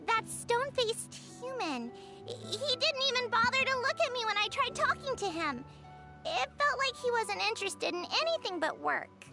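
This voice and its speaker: A woman's voice: very high voice, lively intonation, normal speed. A woman voicing a small, kind, hopeful creature girl who speaks sweetly and eagerly, over game music. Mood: hurt. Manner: sweet, eager, soft-spoken. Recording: game audio with loud background music.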